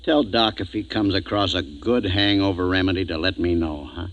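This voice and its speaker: An actor playing a plain-spoken, excitable male spaceship crewman in a 1950s radio drama. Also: sits low, moderate pace, muffled recording, lightly acted. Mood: wry.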